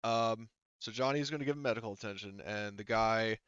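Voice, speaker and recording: A man narrates a tabletop game casually as game master. His voice is low and clean, halting and full of self-corrections. The sound is dry and a little dull. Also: fairly bright tone, clear, resonant tone, dry recording. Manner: halting, self-correcting, heavy filler use.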